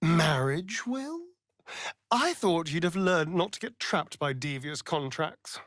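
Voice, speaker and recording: An actor playing a sardonic, flirtatious and vain vampire rogue in a video game, with an arch, theatrical drawl. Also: male voice, a little high, expressive, slow, fairly bright tone, dry recording, clean studio sound. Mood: sardonic, amused. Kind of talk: video game character acting.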